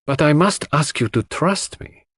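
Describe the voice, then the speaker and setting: A man voicing a grave, courteous foreign detective in an audiobook, speaking in a formal, measured, emphatic way. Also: fairly high pitch, lively intonation, breathy edge, no echo, pitched above the performer's own voice. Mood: sympathetic. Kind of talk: audiobook character voice.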